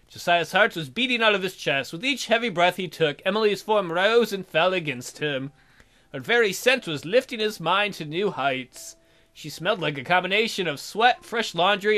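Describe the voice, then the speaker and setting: High-voiced man reading with joking asides. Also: pushed and bright. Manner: irreverent, amused, breaks off to comment. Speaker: let's play host. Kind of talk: reading visual novel aloud with joking asides.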